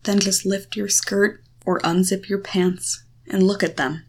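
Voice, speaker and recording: A woman guiding the listener through a visualization, coaching in short, deliberate phrases with a reassuring, instructive manner. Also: mid-range voice, steady tone, a little mellow, slight background noise. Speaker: guide leading a guided erotic confidence exercise. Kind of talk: guided visualization, second-person coaching.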